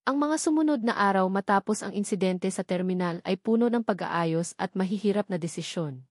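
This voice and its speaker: A woman's voice: medium pitch, fairly quick, no echo, studio quality. A woman reading scripted dramatic narration as an audiobook narrator.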